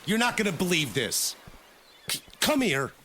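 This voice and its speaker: Animated, higher-voiced exasperated man, as an actor plays him. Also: normal speed, a little hiss or hum, full character voice. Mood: frustrated, angry. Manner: animated, exclaiming, sarcastic. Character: exasperated hot-tempered male boat business owner, sarcastic, reluctant. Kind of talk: audio drama acting.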